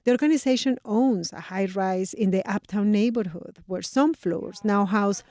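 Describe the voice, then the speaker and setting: A woman news reporter narrating a scripted radio feature in a measured, clear way. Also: mid-range voice, warm tone.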